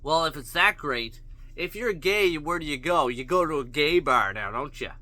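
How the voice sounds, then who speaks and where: A man's voice: fairly high pitch, animated, moderate pace, pushed and bright, no echo. A man ranting bluntly to his viewers, profane and full of rhetorical questions. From Canada. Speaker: vlogger. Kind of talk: opinion rant to camera.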